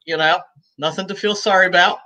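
A woman in her sixties, talking as a guest on a video call from home, chatty and easygoing and quick to laugh. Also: fairly low pitch, fairly even intonation, pushed and bright. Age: 60s. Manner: talkative and easygoing, laughs often. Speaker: guest, cigar shop owner. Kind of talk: casual interview chat. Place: room at home.

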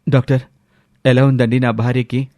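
A man's voice: mid-range voice, little pitch movement, normal speed, dark tone, no echo, natural voice. A man voicing a devoted, distressed young husband who speaks earnestly, in a narrated story. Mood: worried.

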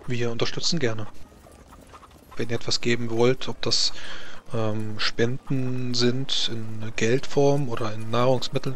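A man improvising in character as a tabletop game master, explaining things in a halting way. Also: mid-range voice, a bit bright, slight background noise.

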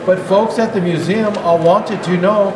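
High-pitched older man reading in an echoey hall. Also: fairly even intonation, measured pace. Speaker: Santa Claus storybook reader. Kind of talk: children's storybook reading aloud. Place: indoor natural history museum hall. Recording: echoey hall, clearly noisy background.

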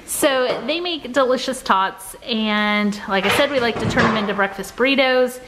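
A woman's voice: on the high side, normal speed. An American woman in her forties chatting casually to viewers as a vlogger. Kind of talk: casual vlog chat. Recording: noticeable background ambience and noise.

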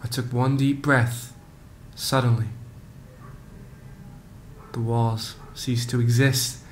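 Slow, even-toned young man reading poetry. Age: late teens. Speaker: student poet. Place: living room, close webcam. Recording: faint rumbling background noise.

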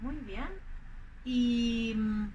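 An older woman from Madrid with a Madrid Spanish accent, acting out a slow, clearly spoken teaching dialogue outdoors on a clip-on mic. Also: fairly high pitch, dark tone, lo-fi sound, a little hiss or hum. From Spain. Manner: playful, deliberate spelling out of words. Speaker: language teacher in a scripted dialogue. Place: outdoors in front of a green wall.

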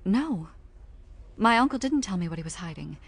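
An earnest young woman from a pulp thriller audio drama. Her voice sits at a middle pitch, and she explains herself sincerely, like a witness giving testimony. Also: expressive, normal speed, breathy edge. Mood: earnest, confiding.

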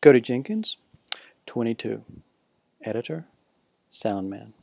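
Deadpan man narrating a mock documentary. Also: medium pitch, unhurried, gravelly, dry recording. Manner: deadpan, listing roll call. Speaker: narrator of a class parody film.

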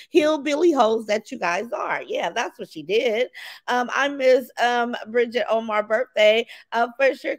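A woman with a raspy voice hosting a casual livestream, reading out chat names and talking bluntly and profanely. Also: a little high, no echo. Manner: brash and profane, reads chat names aloud. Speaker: livestream host.

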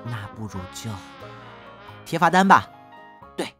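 A man's voice: mid-range voice, big pitch swings, unhurried, a bit bright, soft background music. A young man playing an earnest, easily flustered male traffic officer in an audio drama, prone to stammering.

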